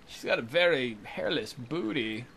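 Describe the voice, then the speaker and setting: A man's voice: mid-range voice, lively intonation, a little slow, vocal fry, a bit bright, slightly rough, rough recording. A man hosting a let's play, reading narration aloud and adding casual, crude, sarcastic commentary. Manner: sarcastic, sweary.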